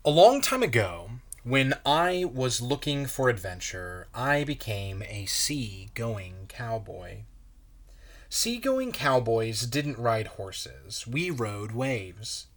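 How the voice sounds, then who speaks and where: A man reads a children's story aloud as a pastor would to young listeners. His pace is very slow and measured, and his voice sits at an average male pitch. The delivery is expressive, shaped for children.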